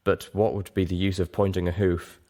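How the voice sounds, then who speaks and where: Male audiobook narrator, low register, dramatic first-person delivery with expressive phrasing. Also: fairly quick.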